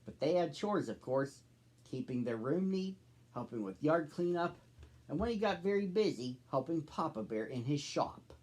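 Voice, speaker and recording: A man's voice: a little high, animated, moderate pace, darkish tone. A man in his thirties hosting and reading a storybook aloud for children. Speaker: storybook reader, host.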